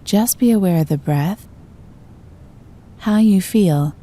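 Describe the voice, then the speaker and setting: A woman narrating a scripted guided meditation in a gentle, calm and soothing manner. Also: medium pitch, slow pace.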